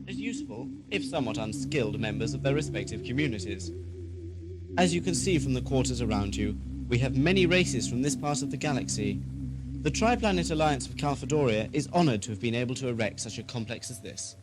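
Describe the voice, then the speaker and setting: A man's voice: medium pitch, sing-song, fairly quick, loud music behind the voice, lightly acted. A man playing a pompous, obsequious asylum keeper in an audio drama, florid and theatrical in his speech. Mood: unctuous. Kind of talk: radio drama acting.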